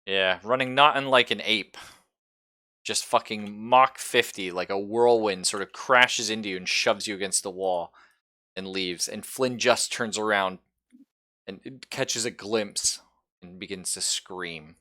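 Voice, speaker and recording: A man improvising narration as game master of a horror tabletop roleplaying game, with halting, suspenseful storytelling. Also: on the low side, bright, forceful tone.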